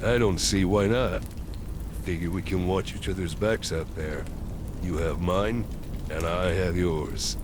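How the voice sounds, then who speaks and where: A man as a deep-voiced cocky soldier. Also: measured pace, noisy background. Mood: gruff, friendly. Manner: brash, swaggering, sardonic, swearing. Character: cocky, crude, battle-hardened guardsman sergeant from a death world. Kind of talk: audio drama character acting.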